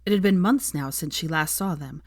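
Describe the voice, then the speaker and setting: A woman's voice: deep voice, normal speed, a little mellow, no echo, studio quality. A woman reading fiction aloud as an audiobook narrator, in scripted storytelling style.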